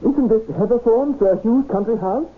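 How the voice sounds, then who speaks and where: An actor playing a bewildered, indignant young doctor, stammering and agitated, in old-time radio drama style. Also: male voice, very high voice, moderate pace. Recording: noisy, tinny radio drama recording.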